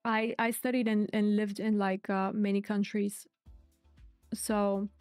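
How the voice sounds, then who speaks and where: A woman's voice: mid-range voice, no room sound. A woman host giving live stream market commentary in a chatty way, repeating her key points. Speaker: crypto trading streamer.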